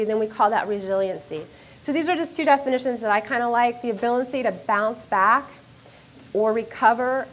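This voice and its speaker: A middle-aged woman, a university professor, giving an animated, self-deprecating lecture to an audience on a microphone in a lecture room. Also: on the high side, slight background noise, low-quality recording. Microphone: handheld or stand microphone.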